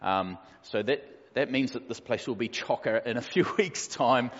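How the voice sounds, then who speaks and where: A man's voice: medium pitch, a little slow, a bit bright. A man in his forties with a New Zealand accent, a pastor talking casually to his church, easygoing and joking with plenty of fillers. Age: 40s. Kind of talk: casual church welcome talk. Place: church hall. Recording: echoey hall, dull lo-fi sound.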